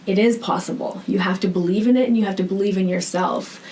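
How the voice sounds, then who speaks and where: A woman's voice: medium pitch, fast talker, dark tone. A woman with a Canadian accent answering interview questions conversationally, earnest and emphatic, often checking points with "right?". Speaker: podcast guest, founder of a rehab centre. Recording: noticeable background noise.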